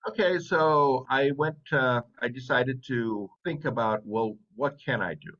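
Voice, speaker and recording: A man's voice: medium pitch, a little slow. A man in his fifties who grew up in Saudi Arabia, talking casually as an interview guest with conversational asides. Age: 50s. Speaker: interview guest, business owner. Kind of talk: casual personal storytelling. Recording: dull lo-fi audio.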